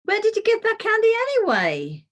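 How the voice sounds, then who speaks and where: Very high, swooping breezy mother played by a woman. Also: huge pitch swings, moderate pace, full character voice. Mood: breezy, casual. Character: chatty, breezy mother on her phone. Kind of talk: screenplay table read.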